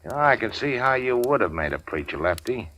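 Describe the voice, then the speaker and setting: A man's voice: medium pitch, very animated, fairly quick, a bit bright, poor audio. A man playing a cool-headed gangster go-between, dry and wisecracking, in 1940s radio drama style.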